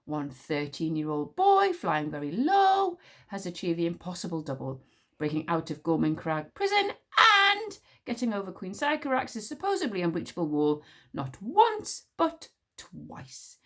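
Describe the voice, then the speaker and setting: A woman's voice: on the low side, wildly swooping pitch, a little slow, fairly bright tone, full character voice. A woman voicing a boastful, moody thirteen-year-old wizard boy in an audiobook, cocky and grandiose. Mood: triumphant, boastful. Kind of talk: audiobook character voice.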